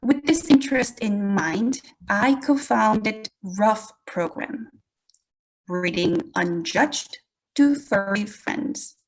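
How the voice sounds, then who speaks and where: A woman's voice: mid-range voice, slow pace, rough recording. Korean-accented woman giving a webinar presentation. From South Korea. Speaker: presenter, English language educator. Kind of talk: webinar presentation, prepared talk.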